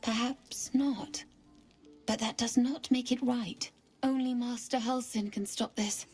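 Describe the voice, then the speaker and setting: An actress playing a gentle, worried, resigned druid woman in a video game, hushed and troubled. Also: on the high side, steady tone, unhurried, husky, faint music behind.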